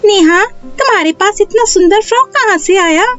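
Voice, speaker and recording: A boastful little rich girl from a storybook voiced by a woman, extremely high and haughty. She talks in a taunting way over music, in slightly muffled sound. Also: moderate pace, fairly bright tone. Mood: envious. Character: boastful, snooty little village chief's daughter.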